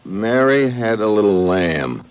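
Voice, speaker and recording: A man's voice: lowish voice, big pitch swings, a little slow, loud music behind the voice. A man with an American accent playing a tough, wisecracking secret agent in a vintage radio drama, clipped and dry. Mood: wry. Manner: clipped, hard-boiled, questioning. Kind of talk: 1950s radio detective acting and narration.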